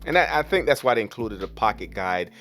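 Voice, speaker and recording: Low-voiced man, casual tutorial voice-over. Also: animated, a little fast, a bit bright, soft background music. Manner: informal, instructional, step-by-step. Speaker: vlogger, product reviewer.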